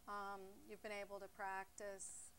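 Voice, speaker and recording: A woman's voice: medium pitch, completely flat delivery, a little slow, a bit bright, smooth, poor audio. A woman hosting an informal interview, often reacting with exclamations and murmurs. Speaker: interview host.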